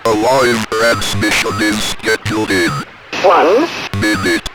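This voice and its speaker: An automated machine voice reading out transmission notices in a cold, impersonal, halting robotic manner. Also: mid-range voice, loud music behind the voice. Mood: impersonal, emotionless. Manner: flat, halting, robotic phrasing. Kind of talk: automated scripted announcement.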